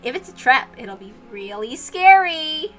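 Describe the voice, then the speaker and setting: A woman's voice: sits high, big pitch swings, unhurried, fairly bright tone, soft background music, exaggerated voice. A woman voicing a teasing, playfully menacing young woman who sets puzzles in a visual novel read-aloud.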